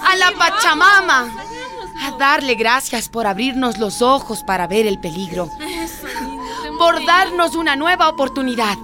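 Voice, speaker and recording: Radio drama villager: solemn, reverent woman, highish lively voice, music underneath. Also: measured pace, crowd noise. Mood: fervent, hopeful. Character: earnest village woman, grieving yet reverent and hopeful.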